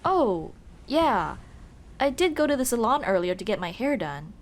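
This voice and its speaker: Woman acting out tomboy childhood friend, young female, casual, teasing, caring, higher-pitched, swooping intonation, ASMR roleplay. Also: very animated, normal speed. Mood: relaxed, teasing. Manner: casual, teasing, addresses listener as dude. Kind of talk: ASMR roleplay, scripted one-sided conversation.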